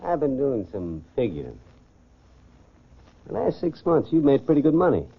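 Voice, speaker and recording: An actor playing a ruthless, boastful gentleman robber who barks orders and threats in a 1940s radio crime drama. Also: mid-range voice, sing-song, normal speed, husky. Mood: cold threatening. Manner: barking, commanding, threatening. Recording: dull lo-fi 1940s radio recording.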